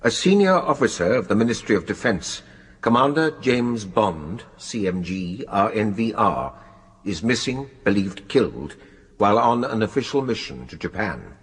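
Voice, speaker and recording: Solemn official in a reverberant hall. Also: male voice, lowish voice, big pitch swings, breathy edge. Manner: formal, solemn, measured. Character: formal, solemn male official reading an obituary. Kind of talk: scripted obituary narration in radio drama.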